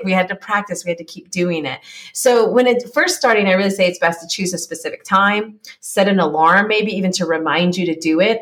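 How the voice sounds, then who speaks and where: A woman's voice: lowish voice, fairly bright tone. A woman from California with an American accent, explaining things conversationally as a coach and podcast guest, encouraging and acting out little inner dialogues.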